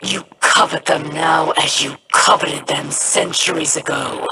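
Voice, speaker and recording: An actor voicing a proud, menacing alien pirate warlord in a video game, speaking slowly under loud noise. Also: medium pitch, fairly even intonation, creaky.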